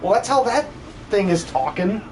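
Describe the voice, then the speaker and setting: A man's voice: high-pitched, very animated, moderate pace, a little mellow. Guy streaming a game, casual jokey chat, a little noise in the background. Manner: joking, informal. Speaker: streamer and amateur voice actor. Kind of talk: casual livestream chat while gaming.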